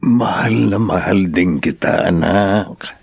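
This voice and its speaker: An actor playing a gentle, ailing older father with a gravelly, husky voice in Filipino radio drama. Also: low-pitched, slow pace, no echo, studio quality, full character voice. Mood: loving.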